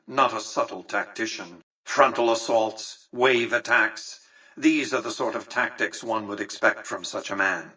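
Aging American magnate, game villain-mastermind type: condescending, calculating, precise, mid-pitched with a sharp, forceful tone. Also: male voice, breathy edge, recorded from a distance, low-quality recording. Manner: measured, pompous, condescending, precise diction. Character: cold, imperious, calculating old male tycoon and casino magnate. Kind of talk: scripted video game dialogue.

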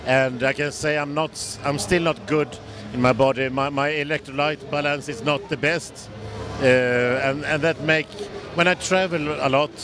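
A man in his forties with a Swedish accent, answering interview questions in halting English with many restarts, speaking into a handheld mic at a busy expo booth. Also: fairly high pitch. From Sweden. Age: 40s. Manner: halting, non-native grammar, frequent restarts. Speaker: bodybuilder guest. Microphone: handheld mic held by interviewer. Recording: noisy trade show floor.

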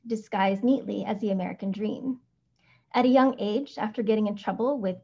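A woman in her thirties who grew up in the Philippines, with Tagalog as her first language, reading a prepared conference paper in a measured, deliberate way over a video call from home. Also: a little high, darkish tone. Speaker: academic speaker. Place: home room, indoors. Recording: slightly dull video call audio.

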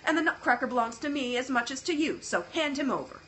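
A woman's voice: high voice, animated, fast, a bit bright, a little hiss or hum, pitched above the performer's own voice. A woman reading aloud, voicing a bossy, stubborn young boy who talks scornfully. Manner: scornful, demanding.

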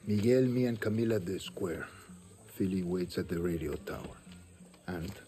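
An actor playing a gruff, commanding middle-aged guerrilla chief in a game cutscene. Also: male voice, low voice, normal speed, warm tone, soft background music, lightly acted. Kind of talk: dramatic video game cutscene acting.

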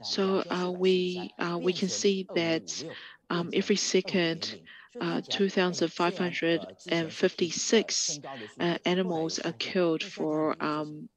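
A woman giving a live English interpretation of a talk, halting often with ums, uhs and restarts. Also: on the low side, a crowd in the background, low-quality recording. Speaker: simultaneous interpreter. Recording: second voice speaking faintly underneath.

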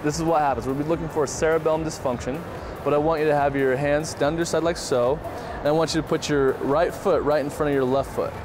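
A man's voice: fairly high pitch, fairly even intonation, fast talker. A man in his thirties coaching people through simple tests and explaining them in an encouraging way, recorded on a lapel mic in a shopping mall. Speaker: TV host and doctor. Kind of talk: instructing and explaining to participants. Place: busy indoor shopping mall. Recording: background music and public bustle.

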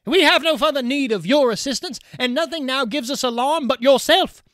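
A frightened young bride begging to be left in peace, as a man reading the story voices her. Her voice climbs high and strained, bright and tense. The sound is dry and close. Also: animated, moderate pace, no echo, full character voice. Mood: pleading.

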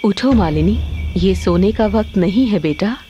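A woman voicing a calm, reassuring, protective spirit mother in a cartoon story. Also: mid-range voice, unhurried, slight background noise, pitched below the performer's own voice, close to an everyday voice. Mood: calm, coaxing.

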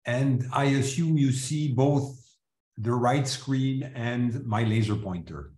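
A man from Switzerland, native in French and English, gives an academic seminar in a measured, explanatory way with some hesitant restarts. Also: medium pitch, mellow. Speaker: seminar speaker, chemist. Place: indoors, video call. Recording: roomy echo, live online seminar.

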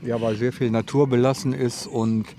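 A man's voice: medium pitch, little pitch movement, moderate pace, dark tone. Guy visitor talking in a street interview outside, explains stuff, bit of noise behind. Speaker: garden show visitor interviewed. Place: outdoors on garden show grounds. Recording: outdoor interview with ambient noise.

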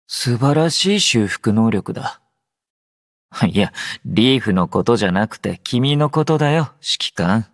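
An actor playing a drowsy, low-energy young male android soldier in an anime game, aloof yet gentle, with a languid, understated delivery. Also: no echo, clean studio sound. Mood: quiet, sincere. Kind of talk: Japanese anime game character voice lines.

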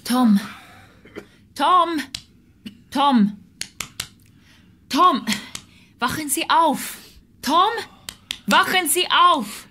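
A woman's voice: high voice, expressive, drawn-out words, pauses often, sharp tone, slight background noise, full character voice. An actress playing a wary, earnest woman in a German crime radio drama, questioning and insistent. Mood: alarmed, urgent.

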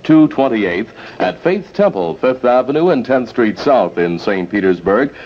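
Lively-pitched American radio announcer, old tape. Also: male voice, measured pace, slight background noise. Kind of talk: scripted public announcement. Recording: dull old radio tape, music behind.

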